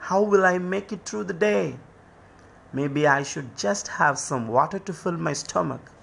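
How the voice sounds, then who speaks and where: A man's voice: a little high, measured pace, creaky, fairly bright tone, slightly rough, voice pushed up, full character voice. A man voicing a poor, hungry, polite young boy in a children's moral tale. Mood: worried. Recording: music behind the voice.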